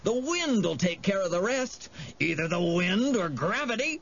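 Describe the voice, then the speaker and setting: A man's voice: high-pitched, big pitch swings, a little slow, creaky, fairly bright tone, breathy edge, pitched above the performer's own voice, heavily acted. A man voicing a sly, goading creature with a hissing, sing-song, taunting manner in a storybook. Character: sly, goading creature tempting a boy toward bloodlust. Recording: dull audio with faint noise.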